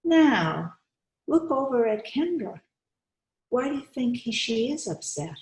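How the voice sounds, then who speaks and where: A woman reading a storybook aloud to young children, expressive and coaxing, stopping to put questions to her listeners. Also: fairly high pitch, slow. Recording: roomy, echoey sound.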